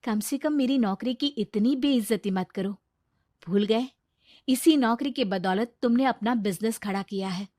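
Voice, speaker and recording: A woman's voice: on the high side, moderate pace, a little mellow, no echo, studio quality, natural voice. A woman voicing a self-reliant, strong-willed working wife and mother in a cartoon, firm and indignant.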